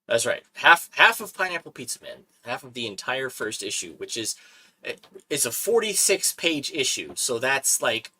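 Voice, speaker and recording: Man, host, bright crisp voice, animated, laughs often, casual chat. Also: mid-range voice, moderate pace, slight background noise, poor audio.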